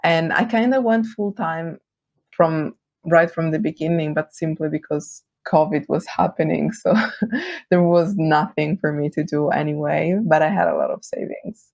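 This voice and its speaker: A woman with an Italian accent whose first language is Italian, chatting as an interview guest, friendly and quick to laugh. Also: low voice, creaky, a little mellow, no echo. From Italy. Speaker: guest, brand designer. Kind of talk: casual interview chat.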